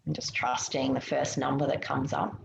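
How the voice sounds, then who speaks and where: A woman leading a guided meditation in a soothing, gentle way, giving unhurried instructions with pauses. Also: very deep voice, level tone, creaky. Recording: muffled, roomy, noticeable background hiss.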